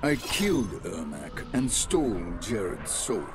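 An actor playing an arrogant, taunting fighter in a video game, smug and theatrical. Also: male voice, on the low side, sing-song, unhurried, loud music behind the voice.

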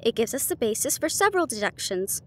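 A woman voicing a sharp, confident male detective with a precise, formal, condescending manner in an audiobook. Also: a little high, very animated, quick pace, slight background noise. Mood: analytical.

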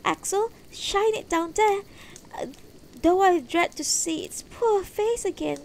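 A woman's voice: unhurried, gravelly, a little mellow, heavily acted. A woman voicing an anxious, tender elderly wife in a fantasy story, pleading and distressed. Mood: alarmed. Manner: pleading, distressed, high-voiced.

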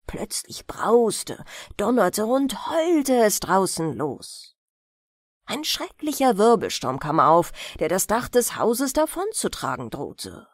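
A woman narrating a bedtime fairy-tale audiobook in an expressive, measured storytelling manner. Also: medium pitch, no room sound, studio quality.

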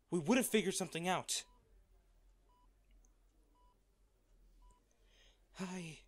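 A man playing a wealthy, devoted, protective lover in a romantic audio roleplay, speaking tenderly and earnestly with halting phrases. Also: high voice, a bit bright, exaggerated voice. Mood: pained, confessional.

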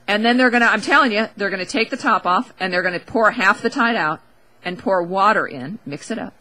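A woman's voice: a little high, sharp tone, rough recording. A woman hosting a talk show, giving a casual, opinionated monologue in a wry, emphatic, conversational way into a close studio microphone.

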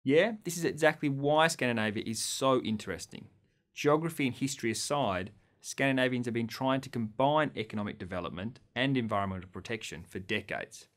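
A man's voice: mid-range voice, fairly quick. Upbeat man from Australia lecturing. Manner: clear, upbeat presenting with open gestures. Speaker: course lecturer. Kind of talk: scripted lecture to camera. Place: studio against a green screen.

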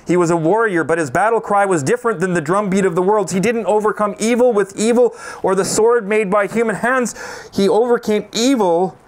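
A man's voice: high voice, fairly quick. A man in his forties, a pastor, preaching an earnest sermon into a handheld microphone on a church stage, sometimes with small restarts. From Canada. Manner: earnest preaching, sings a chorus, gestures while speaking.

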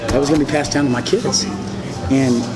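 A man's voice: mid-range voice, fairly even intonation, fairly quick, crowd noise. A man in his forties telling his story as an interview guest, rambling, emphatic and repetitive, gesturing broadly as he talks. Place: indoor restaurant booth.

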